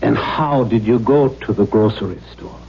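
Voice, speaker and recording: An actor playing a cruel, domineering middle-aged father in a 1970s radio drama, mock-gentle and formal as he menaces his daughter. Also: mid-range voice, moderate pace, creaky, natural voice. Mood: mock-gentle, probing. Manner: mock-gentle, formal phrasing, erupting into demands. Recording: dull, noisy 1970s radio recording.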